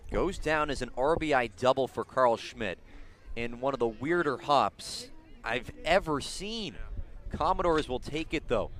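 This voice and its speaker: A man calling live baseball play-by-play for radio, describing the action in detail and building excitement on big plays. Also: mid-range voice, unhurried. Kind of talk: live radio sports commentary.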